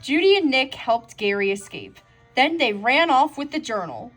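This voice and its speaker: A woman reading a children's storybook aloud in an expressive storytelling style, as narrator. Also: high-pitched, moderate pace, faint music behind. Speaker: storybook reader.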